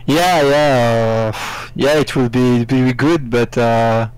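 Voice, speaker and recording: A man from Belgium with a Belgian accent, not a native speaker, chatting casually with halting phrasing. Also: mid-range voice, steady tone, smooth, no echo, poor audio. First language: not English. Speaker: live chat participant. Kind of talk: casual chat.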